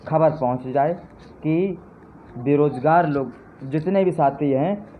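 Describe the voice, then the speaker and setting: A man giving an impassioned live address to his supporters, with fervent, repetitive appeals that rise to indignant jabs. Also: mid-range voice. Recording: tinny phone-line sound with faint noise.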